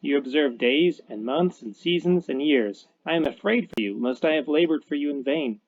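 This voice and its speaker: Man reading a steady teaching script. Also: fairly high pitch, measured pace, dry recording, dull audio.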